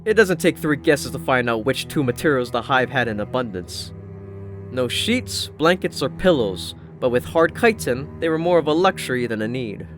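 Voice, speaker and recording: A man's voice: mid-range voice, lively intonation, moderate pace. A man reading scripted first-person fiction as an audiobook narrator. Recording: narration over prominent music.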